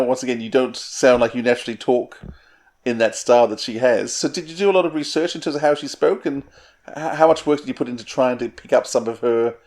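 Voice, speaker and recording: A man's voice: mid-range voice, a little mellow. A man speaking as an interviewer, in a conversational style with analytical, exploratory phrasing.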